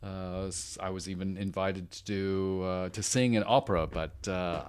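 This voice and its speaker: British male guest, lowish voice, suave and dry, halting with ums; casual podcast chat. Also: fairly even intonation, smooth.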